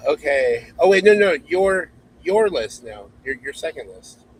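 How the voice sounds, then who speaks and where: A man's voice: fairly high pitch, animated, a little slow, low-quality recording. A man chatting casually and teasing the others while he co-hosts a podcast. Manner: teasing, joking. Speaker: podcast co-host. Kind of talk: casual chat.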